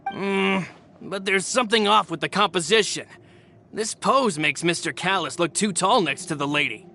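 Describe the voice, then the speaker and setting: A man's voice: high voice, normal speed, sharp tone, a little hiss or hum. A man playing a loyal, easygoing henchman in a video game, casual and matter-of-fact. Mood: skeptical. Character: loyal, easygoing male henchman to his boss. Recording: game music behind the voice.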